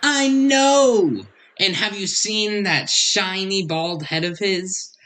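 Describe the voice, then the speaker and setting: A woman's voice: mid-range voice, takes it slowly, a bit bright. A woman playing a giddy, gossipy, starstruck fan in a radio drama, drawing out her swoons. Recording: faint background noise.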